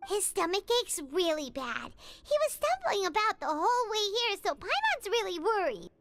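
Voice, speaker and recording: A woman voicing a small, excitable, dramatic female fairy companion in an anime game, with theatrical exclaiming delivery. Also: extremely high pitch, normal speed, slight background noise, full character voice. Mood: worried. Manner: exclaiming, theatrical, speaks of herself in third person.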